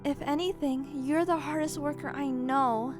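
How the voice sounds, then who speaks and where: A woman voicing an affectionate, composed older sister and school principal in an audio drama, gentle and supportive. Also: high voice, steady tone, normal speed, close to an everyday voice. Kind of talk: audio drama fan fiction reading. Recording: prominent music over quiet voice.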